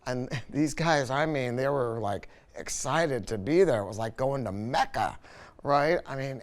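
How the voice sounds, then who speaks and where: A man giving an unscripted product review, telling stories in an emphatic, colloquial way with frequent rhetorical check-ins. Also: mid-range voice. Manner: emphatic, colloquial, storytelling with rhetorical tag questions. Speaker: product reviewer and audio dealer. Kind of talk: unscripted sales-style product review talk.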